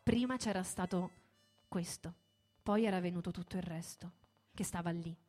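A woman's voice: lowish voice, darkish tone. A woman reading a dramatic scripted narrative in a radio theatre performance. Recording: music playing behind the voice. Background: music.

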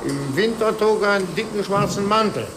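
An actor playing a brisk male employee who deliberately recounts a dead boss's routine, in 1950s German radio drama. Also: high-pitched, natural voice. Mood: emphatic recounting. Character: brisk male employee guiding the heir through his uncle's routine. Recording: noisy 1950s radio recording.

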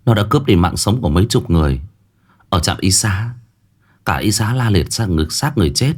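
A man voicing a gentle, sincere village wife in a story, reassuring and heartfelt. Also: deep voice, animated, a little fast, breathy edge. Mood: grave. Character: gentle, sincere married village woman revealing family history.

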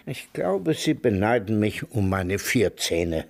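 A man's voice: on the low side, very animated, slow, gravelly, full character voice. An actor playing a querulous, ranting old man in a radio play, declaiming with an Austrian accent and swinging from mutters to outbursts. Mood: stubborn.

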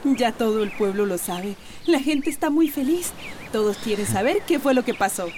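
A woman's voice: on the high side, expressive, moderate pace, darkish tone, slight background noise, close to an everyday voice. An actress playing a happy village woman eager for news in a radio soap opera. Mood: excited.

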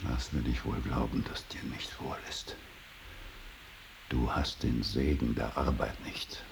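An actor playing a stern, solemn middle-aged father in a radio drama, insistent and halting in his speech. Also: rumbling low, fairly even intonation, creaky, heavily acted. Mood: grave, probing. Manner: solemn, insistent, halting, swinging from hushed to forceful. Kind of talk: 1980s German radio drama acting. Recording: faint tape hiss.

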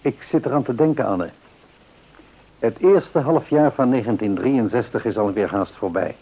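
An actor playing a devout, reassuring middle-aged father in a radio play, speaking in a measured, earnest, counselling way. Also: mid-range voice, dark tone, slightly rough, rough recording. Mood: content, reflective. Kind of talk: mid-century radio play acting.

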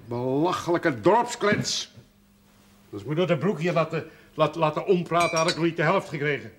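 An actor playing a proud, suspicious middle-aged father in a television drama, gruff and dismissive. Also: a little high, moderate pace, noisy background, natural voice. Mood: scornful. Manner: gruff, dismissive, needling questions. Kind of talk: naturalistic television drama acting.

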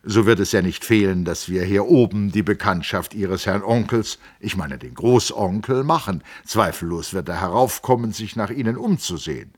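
A man's voice: on the low side, normal speed, few pauses, pitched above the performer's own voice, natural voice. A man voicing an older, eloquent Italian man of letters who speaks in a polished, mildly mocking, lecturing manner. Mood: ironic.

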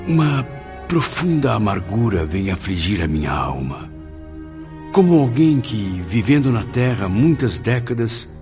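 An actor playing a melancholy, guilt-ridden male spirit in a radio drama, with solemn, literary Brazilian diction. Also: on the low side. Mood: sorrowful.

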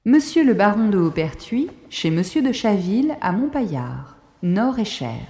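A woman's voice: medium pitch, big pitch swings, unhurried, mellow, breathy edge, full character voice. A woman playing a worldly, flighty Parisian lady in an audio drama, imperious, mocking and theatrical. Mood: gleeful, sly. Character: flighty, mischievous, worldly Parisian mistress of the house.

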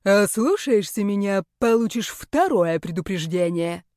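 A woman's voice: mid-range voice, expressive, a little slow, darkish tone, no echo, clean studio sound, lightly acted. A woman voicing a sneering, contemptuous male magic-school rector who drawls and barks orders in an audiobook. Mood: imperious. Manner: drawling, imperious, barking.

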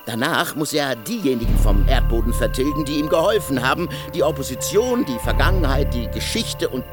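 An actor in a radio drama playing a bitter, driven exiled Russian revolutionary leader, speaking with rhetorical emphasis over music. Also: male voice, mid-range voice, expressive, a little fast, close to an everyday voice. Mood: bitter, urgent.

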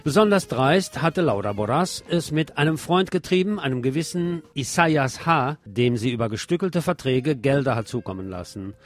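A man presenting scripted radio news commentary as host, wry and deliberate, with emphatic phrasing. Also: mid-range voice, animated, music in the background.

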